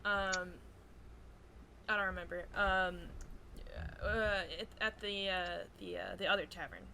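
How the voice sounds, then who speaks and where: A woman's voice: medium pitch, steady tone, unhurried, vocal fry, background noise. A woman playing a tabletop game, chatting casually and hesitantly, often trailing off as she searches for words.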